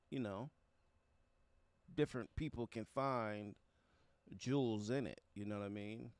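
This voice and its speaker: A man hosting a music reaction stream, chatting casually in a halting, conversational way and often tagging phrases with a check for agreement. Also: medium pitch, dry recording. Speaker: music reaction show host. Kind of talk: casual livestream chat with viewers about music.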